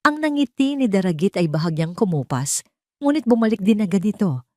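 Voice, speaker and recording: A woman's voice: medium pitch, very animated, a little fast, warm tone, dry recording, clean studio sound. A woman reading a scripted, dramatic story as narrator.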